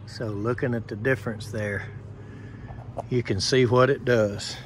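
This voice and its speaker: A man of middle pitch talks slowly and plainly as an HVAC technician, pointing things out step by step. He is outdoors beside air conditioner units, recorded on a handheld phone camera. Faint outdoor noise sits behind his voice. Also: steady tone. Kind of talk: casual on-site explanation to camera.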